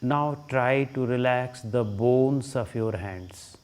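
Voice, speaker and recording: A man with an Indian accent leading a guided relaxation as a yoga teacher, giving short instructions with long pauses between them. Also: medium pitch, darkish tone, clear, resonant tone.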